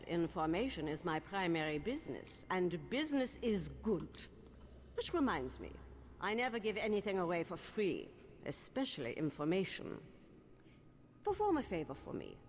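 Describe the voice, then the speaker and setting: An actress voicing a shady, vain, self-assured underworld information broker in a video game, with a smooth-talking manner. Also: female voice, lowish voice, wildly swooping pitch, normal speed, long reverb tail, slight background noise. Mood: sly, self-assured. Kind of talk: video game character dialogue.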